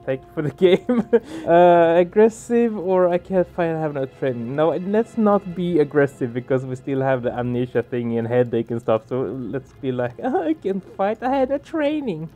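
Higher-pitched man doing game commentary. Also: lively intonation, moderate pace, hardly any pauses, dark tone. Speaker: let's play streamer. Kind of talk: casual gaming commentary, reading game text aloud. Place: small room indoors. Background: game music.

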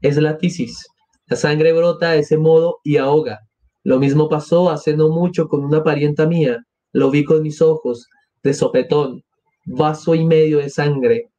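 Man as male official, sober, measured, subdued, calming, storybook character. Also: on the high side, natural voice. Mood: grave.

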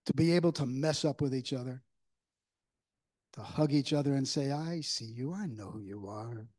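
A man in his sixties preaching a reflective, informal, conversational sermon with halting pauses into a lectern microphone in a church. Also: mid-range voice, a little mellow. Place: church sanctuary, at a wooden lectern. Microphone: microphone on a stand at the lectern.

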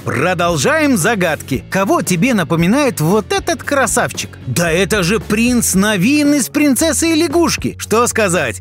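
A man reading scripted narration for an entertainment video, chatty and teasing, addressing the listener directly. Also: high-pitched.

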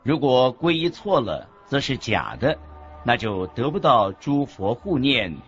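A man's voice: mid-range voice, sing-song, a little slow, fairly bright tone. A man reading a scripted religious teaching as the narrator of a radio lecture. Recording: dull lo-fi audio with background music.

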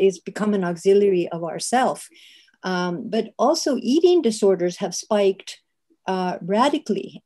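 Older woman, mellow, thoughtful. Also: mid-range voice. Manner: thoughtful, searching, many restarts. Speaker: artist and psychiatrist guest. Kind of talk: panel discussion on video call. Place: home office with bookshelves. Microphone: video call webcam.

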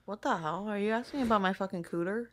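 A woman's voice: mid-range voice, fast talker, a crowd in the background. A woman co-hosting a podcast in casual comedic banter, teasing, blunt and profane. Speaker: podcast co-host.

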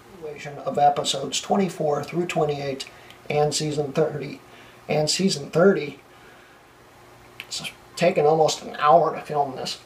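Young male tech vlogger, a bit high-pitched, hoarse, flustered, recorded from a distance in a small computer room with a light hiss. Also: darkish tone. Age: young adult. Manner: flustered, laughing at his own slip-ups. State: losing his voice, coughing. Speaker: tech channel host. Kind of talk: blooper reel of a tech vlog. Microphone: camera mic from a few feet away. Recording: distant camera mic, faint room hiss.

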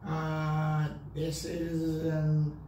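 A man's voice: a little high, monotone, drawn-out words, mellow. An older man from Guadeloupe, telling stories in with loose grammar and repeated phrases. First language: French. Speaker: interviewee. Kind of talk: casual storytelling. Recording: echoey room, distant mic, faint noise.

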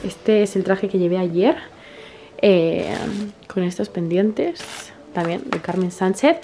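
A woman's voice: on the low side, slow pace, warm tone, faint music behind. Chatty young woman vlogging from a hotel. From Spain. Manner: chatty and enthusiastic, full of fillers and little diminutives. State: stuffy from allergies. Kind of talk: casual vlog chat to camera. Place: hotel room and outdoors in a city. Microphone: handheld camera at arm's length.

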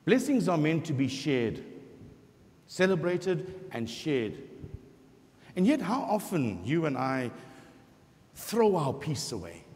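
Middle-aged parish priest, expressive sermon delivery, long church reverb. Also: male voice, a little high. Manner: expressive preaching, voicing scripture quotes, slow emphatic pauses. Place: large church sanctuary before the altar.

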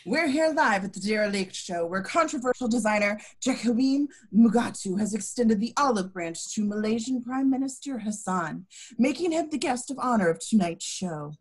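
A woman's voice: a little high, lively intonation, normal speed, slightly rough. A woman acting knowing informant and reporter parts in an amateur table read over a video call. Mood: announcing. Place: home, remote video call.

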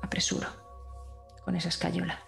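A woman's voice: lowish voice, completely flat delivery, brisk, creaky, soft background music. A woman playing a tabletop role-playing game, casually and hesitantly describing what her character does.

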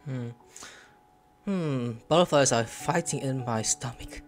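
Male gameplay streamer, loose excitable commentary, game music underneath. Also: medium pitch, takes it slowly. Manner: excitable, swearing, yells while playing.

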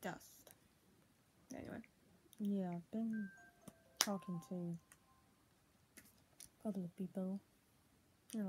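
A young woman vlogger with a husky, muffled voice, speaking at home into a tablet. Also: medium pitch, warm tone, a little hiss or hum. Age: young adult. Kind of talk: casual home vlog, partly reading aloud. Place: small room at home, indoors. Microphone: tablet built-in mic at arm's length.

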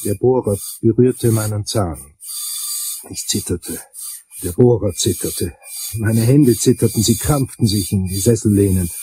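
A man playing an investigator, narrating a crime radio play in measured, wry first-person storytelling. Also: lowish voice, mellow, slightly rough, low-quality recording. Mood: measured, tense.

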